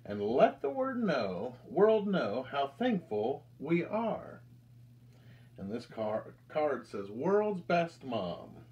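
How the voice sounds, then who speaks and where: An American man in his thirties reads a picture book aloud to children with deliberate, expressive storytelling delivery. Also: on the high side, muffled recording. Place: indoors, small room with curtain backdrop.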